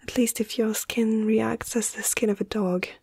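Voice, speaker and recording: A young woman playing a caring, slightly awestruck vet in a soft-spoken ASMR roleplay, gentle and hesitant, often slipping into a breathy half-whisper. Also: on the high side, steady tone, normal speed, no room sound, studio quality. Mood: calm, curious. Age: young adult.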